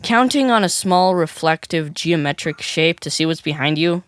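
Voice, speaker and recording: A man's voice: a little high, lively intonation, moderate pace, a bit bright, no room sound. An actor playing a stubborn, literal-minded boy in an audio comedy drama, snarky and questioning, with an American accent. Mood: argumentative, dry.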